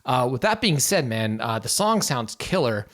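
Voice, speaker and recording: A man's voice: medium pitch, sing-song, normal speed, a bit bright. A man reviewing subscriber mixes, giving frank but encouraging feedback between listening to the tracks. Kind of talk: casual critique while listening to tracks.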